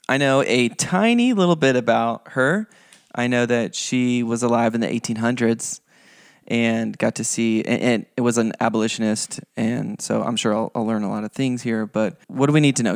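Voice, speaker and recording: A man's voice: mid-range voice, moderate pace, clean tone, no room sound, clean studio sound. A man hosting an educational podcast in a casual, conversational style. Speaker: podcast host.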